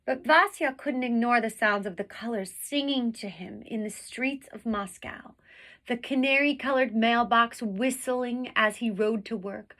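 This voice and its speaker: Slow, bright-voiced woman reading a storybook. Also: fairly high pitch. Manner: deliberate, dramatic phrasing with emphasis on vivid words. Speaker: teacher reading a picture book aloud. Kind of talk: expressive storybook read-aloud.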